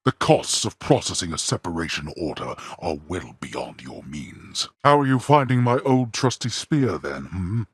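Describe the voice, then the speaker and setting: A man playing a stern, imperious male lord of the underworld in a video game, speaking in a cold, disdainful, formal manner with a very husky, gravelly voice. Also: low voice, very animated, measured pace, hardly any pauses, no room sound, clean studio sound, heavily acted. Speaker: voice actor.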